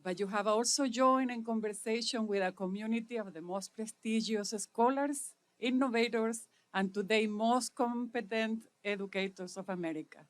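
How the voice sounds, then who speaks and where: A middle-aged woman from Chile with a Chilean Spanish accent, delivering a measured formal speech at an inauguration; Spanish is her first language. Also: a little high. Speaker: speaker at an inauguration.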